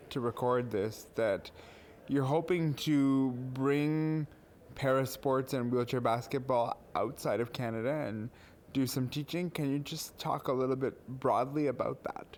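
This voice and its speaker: Slow-talking male podcast host. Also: medium pitch, darkish tone, slight background noise. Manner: measured, with fillers. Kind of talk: scripted intro then interview questions.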